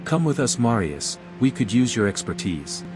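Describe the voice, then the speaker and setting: An actor playing a composed, resolute envoy hero in a video game, speaking in measured, direct phrases. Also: male voice, mid-range voice, sing-song, natural voice. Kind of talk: video game dialogue acting. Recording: echoey hall reverb over music.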